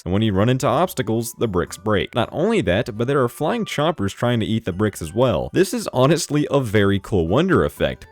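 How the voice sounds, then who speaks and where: A lower-pitched man narrates a casual gaming video essay with very animated intonation. He is informal and opinionated, talking as a YouTuber would, with music playing behind his voice. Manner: informal, opinionated, mildly sweary. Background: background music.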